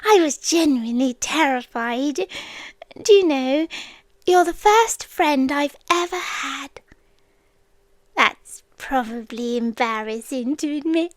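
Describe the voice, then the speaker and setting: A British woman voicing an ancient, lonely, devoted creature in a soft, whispery, hesitant ASMR roleplay, close to the mic. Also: high-pitched, expressive, dry recording. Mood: relieved, shy. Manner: soft, slow, hesitant, gentle. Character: ancient lonely female creature, tender, devoted, shyly protective. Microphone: close microphone, dry.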